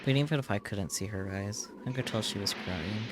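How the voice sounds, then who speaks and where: A man's voice: lowish voice, a little fast, background noise. A man who streams games, reading visual novel text aloud as narration.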